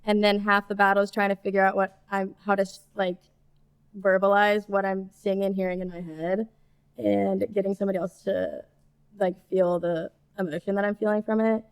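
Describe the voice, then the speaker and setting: A young woman, a songwriter guest in a podcast interview, speaking in an easygoing way with laughs and soft trailing endings. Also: medium pitch, little pitch movement, moderate pace, clean tone. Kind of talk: casual conversational interview.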